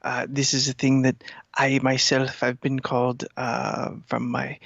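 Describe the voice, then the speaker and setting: A man voicing a haughty male cat who schemes to rule humans, speaking in a slow, formal, pompous way. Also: medium pitch, completely flat delivery, creaky, a bit bright, no echo. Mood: condescending. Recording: dull lo-fi sound.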